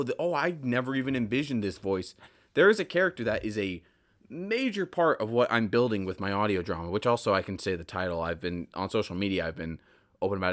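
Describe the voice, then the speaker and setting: A man's voice: on the low side, fairly bright tone. A man hosting a solo podcast in casual, rambling talk, often correcting himself and drifting into asides. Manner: self-correcting, digressive, conversational. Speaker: podcast host.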